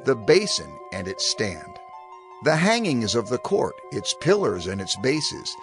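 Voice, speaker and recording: An actor playing a male prophet who solemnly instructs his people with deliberate, declamatory phrasing in a dramatized scripture reading. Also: medium pitch, sing-song, soft background music. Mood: solemn instruction.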